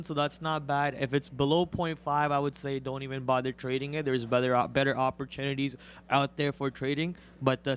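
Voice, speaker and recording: A raspy young man hosting a live stream, talking through his trades and calling out prices as they move. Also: a little hiss or hum. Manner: rapid excited play-by-play, gets loud and high when a stock moves. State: raspy voice from a cold. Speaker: day trader and co-host. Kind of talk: live trading commentary.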